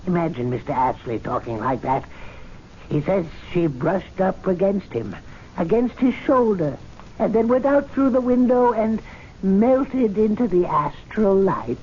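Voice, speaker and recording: An actor playing an indignant, fussy, excitable club servant in an old radio drama, given to muttering and theatrical outbursts. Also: male voice, sits high, measured pace. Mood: incredulous. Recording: muffled, noisy old radio drama recording.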